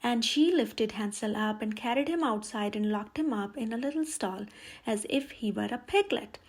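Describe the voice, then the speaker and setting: High-pitched woman reading a children's story. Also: normal speed, darkish tone. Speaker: storyteller, channel host. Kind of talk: children's picture book read-aloud.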